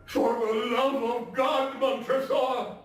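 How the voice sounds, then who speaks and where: A man playing a drunken, doomed nobleman in a Gothic tale, halting and pleading through weak laughter. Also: extremely high pitch, level tone, pitched above the performer's own voice. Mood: desperate. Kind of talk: theatrical Gothic storytelling. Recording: echoey distant stage recording, faint noise.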